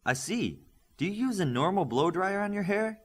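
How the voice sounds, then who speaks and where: Eager boy hero-in-training for an audiobook read by a man; earnest, excitable, animated intonation. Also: high voice, moderate pace, voice pushed up. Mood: curious, friendly.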